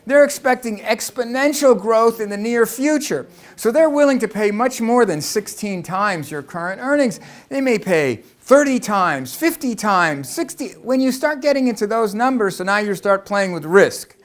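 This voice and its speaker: Brief: American man, high-pitched, conversational lecturer asking rhetorical questions. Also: lively intonation, moderate pace, few pauses. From Los Angeles, United States. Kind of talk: presentation talk to an audience.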